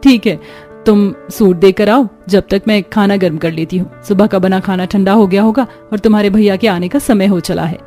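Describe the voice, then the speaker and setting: A woman voicing a patient, hardworking poor daughter-in-law in a cartoon, gentle and practical. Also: medium pitch, steady tone, normal speed, lightly acted. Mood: calm, reassuring. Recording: music behind the voice.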